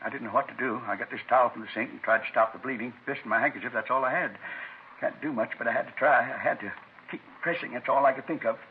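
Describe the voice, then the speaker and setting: An actor playing an agitated, over-eager middle-aged American neighbor at a crime scene, in 1950s radio drama style. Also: male voice, medium pitch, lightly acted. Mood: distraught. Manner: anxious, eager, repetitive, halting phrases. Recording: muffled, noisy old radio recording.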